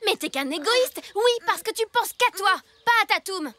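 A woman's voice: very high voice, animated, moderate pace, sharp tone, heavily acted. A woman voicing a bossy, headstrong girl who scolds the others. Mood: scolding. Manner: scolding, insistent. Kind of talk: cartoon dub acting.

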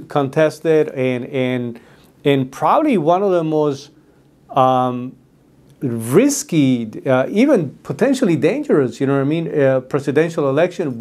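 A man's voice: on the high side, a little mellow. Earnest middle-aged man with Spanish accent. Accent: Spanish-speaking. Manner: earnest, many fillers and restarts, gestures with hands. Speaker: host. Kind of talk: casual talk to camera about a book. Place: red-walled studio room with desk.

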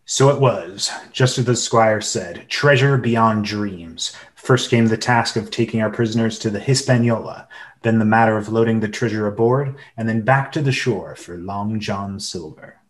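A man's voice: lowish voice, normal speed, close to an everyday voice. A man playing a reflective storyteller, narrating his own adventure in a scripted radio play. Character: Jim Hawkins as narrator recalling his adventure.

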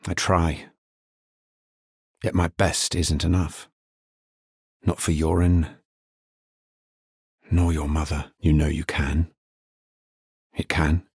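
An actor playing a grave, world-weary necromancer hero in a fantasy video game, speaking solemnly in measured, understated lines. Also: male voice, rumbling low, little pitch movement, a little mellow, breathy edge, no echo, studio quality, exaggerated voice.

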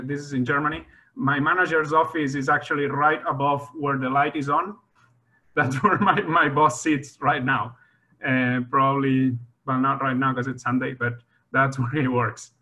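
A man with a Spanish accent talks through a slide presentation on a video call, his voice bright and forward. He speaks in loose, friendly phrases, often restarting and adding small laughs. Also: medium pitch, lo-fi sound. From Spain. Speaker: engineer giving a presentation. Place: home room on a video call. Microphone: video call webcam.

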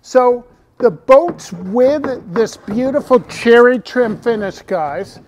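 A man's voice: very high voice, expressive, takes it slowly, darkish tone, lo-fi sound, a little hiss or hum. A middle-aged man presenting new products at a launch. He talks enthusiastically and speaks to viewers and staff by name. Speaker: company owner presenting boats.

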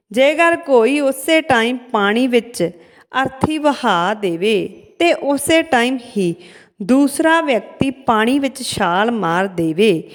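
A woman narrating a folk tale as a storyteller, in a measured, halting delivery. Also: on the high side. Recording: long hall-like reverb.

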